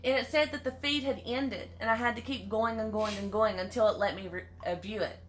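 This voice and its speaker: A woman's voice: on the high side, fairly even intonation, brisk, slight background noise. A woman co-hosting a livestream in casual chat, making brief, dry remarks. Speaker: livestream co-host.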